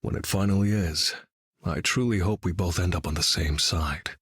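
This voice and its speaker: An actor playing a grim, battle-hardened vigilante leader, dry and deadpan, in video game dialogue. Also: male voice, deep voice, normal speed, breathy edge, no room sound. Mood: somber. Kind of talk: video game character voice lines.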